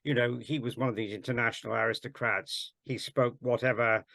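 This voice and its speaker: A man in his sixties, from South Wales, speaks at an ordinary middle pitch. He talks haltingly, full of ums and restarts, as he recalls things for a panel. His voice sounds close and dry, with no room echo. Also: steady tone, vocal fry, fairly bright tone. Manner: hesitant, many ums and restarts. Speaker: panel guest. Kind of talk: casual discussion.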